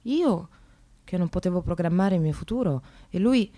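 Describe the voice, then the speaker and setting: An actress playing a sardonic, separated working mother in a radio monologue, confessional and swinging from hushed to vehement. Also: on the low side, lively intonation, moderate pace, natural voice. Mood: weary, bitter. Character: Sara, bitter, self-mocking separated mother in precarious work.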